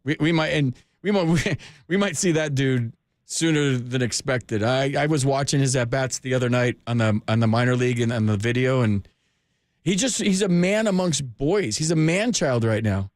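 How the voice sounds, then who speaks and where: A man's voice: mid-range voice, expressive, normal speed, dry recording. An American man hosting a sports call-in radio show, chatting casually in an informal, joking, slangy way on a close studio mic. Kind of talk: casual chat with callers. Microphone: close studio microphone.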